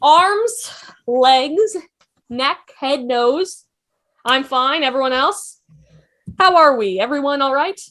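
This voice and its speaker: A woman playing an energetic doctor taking charge of frightened passengers in an amateur table read. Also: sits high, slow, long pauses, heavily acted. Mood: brisk, reassuring.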